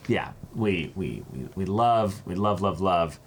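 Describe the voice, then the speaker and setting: A man chatting casually and reading letters aloud as co-host of an unboxing, halting when he ad-libs and expressive when he reads. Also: sits low. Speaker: co-host of a reaction channel. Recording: faint background noise.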